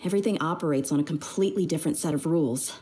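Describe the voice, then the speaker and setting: A woman's voice: low-pitched, rapid-fire, warm tone, a little hiss or hum, natural voice. A woman playing an easygoing, loyal young female mercenary leader in a video game, speaking in a casual, frank, slangy way. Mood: frank, serious.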